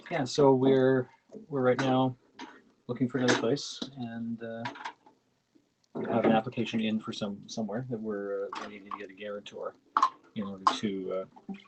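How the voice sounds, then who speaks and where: A middle-aged man with a small-town Ontario Canadian accent hosts a casual livestream chat in a fairly low voice. He rambles along with plenty of fillers and restarts. Loud noise sits under the recording. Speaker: livestream host.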